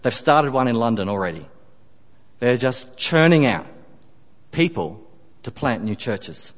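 A man preaching a sermon, emphatic, rising on key phrases and dropping to quiet asides. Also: mid-range voice, sing-song, unhurried. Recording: long reverb of a church hall.

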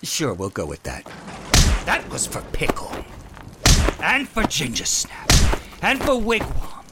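Man acting a slow, gravelly robot rabbit villain. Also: fairly low pitch, expressive, very noisy, heavily acted. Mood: gloating menace. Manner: slow, theatrical, petulant when interrupted. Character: menacing, melodramatic male chocolate robot rabbit villain, wistfully dying. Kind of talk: comic radio play acting.

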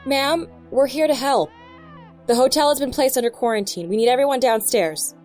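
A woman playing a brisk, commanding FBI agent in an audio drama, terse and authoritative. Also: sits high, a little mellow, soft background music, natural voice. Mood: loud, commanding.

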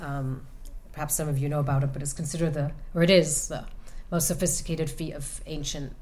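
A woman author answering audience questions about her novel, speaking thoughtfully with many pauses and restarts. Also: sits low, steady tone, darkish tone, slight background noise. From United States. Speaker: author at a reading. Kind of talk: book talk and audience Q&A. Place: event room with an audience.

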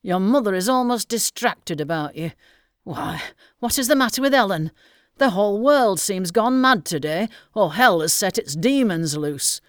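A woman voicing an excited elderly gentleman who exclaims in agitation. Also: medium pitch, slow pace, dry recording, studio quality, voice pushed up. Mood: agitated. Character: excited elderly gentleman, easy-going merchant.

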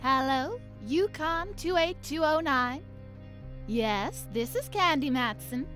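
A woman playing a brisk, bossy private detective in a 1950s radio drama style. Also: high-pitched, animated, fairly bright tone, faint music behind, exaggerated voice.

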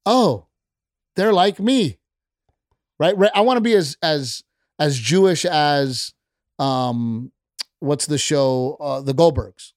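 A man's voice: a little high, animated, takes it slowly, long pauses, no room sound, clean studio sound. A middle-aged man, a stand-up comedian and podcast guest, talking bluntly and heatedly in casual chat, with plenty of profanity. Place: podcast studio.

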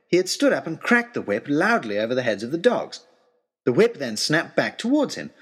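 A man playing a florid, anxious young gentleman adventurer, telling his tale in a wordy, mannered way with wry understatement in a comic audio drama. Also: medium pitch, husky. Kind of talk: first-person comic adventure storytelling, letter-like period narration.

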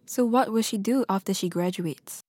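A woman from Singapore reading scripted documentary narration in a measured, reflective way. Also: medium pitch, noisy background. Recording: voice-over with music behind.

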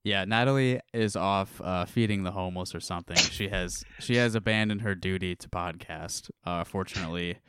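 A man hosting a casual comedy podcast, cracking deadpan jokes. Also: sits low, normal speed, few pauses, heavy background noise. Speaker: podcast host.

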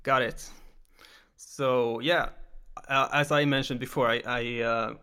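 A man's voice: medium pitch, steady tone, slow pace, pushed and bright, smooth. A man interviewing a guest, asking casual questions in short prompts. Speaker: interviewer, host.

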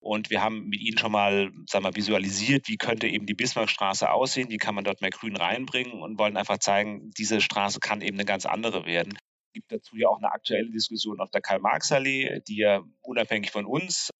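A low-voiced man talks through urban planning ideas, often hesitating and restarting his sentences. His voice is recorded dry and a little dull, with no room sound. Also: fairly even intonation, a little fast, pushed and bright, no echo. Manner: hesitant, many fillers and restarts. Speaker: cycling infrastructure planner. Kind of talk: conversational expert explanation.

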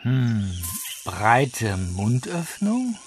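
A male actor playing a curious, calm young naturalist who ponders aloud in a radio comedy. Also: medium pitch, noisy background. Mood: musing. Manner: slow, pondering.

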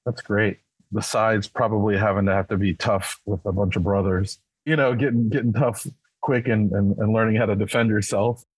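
A podcast host with a husky, somewhat low voice asks his guest long, friendly questions that wander before landing. The recording is close and dry. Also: fairly even intonation, normal speed, no room sound, low-quality recording. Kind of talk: podcast interview.

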